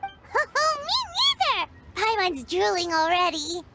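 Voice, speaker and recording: A woman voicing a tiny, childlike, cheeky fairy companion in a video game, with a squeaky, excitable delivery. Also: takes it slowly, loud music behind the voice, heavily acted. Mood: cheerful. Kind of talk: animated game dialogue.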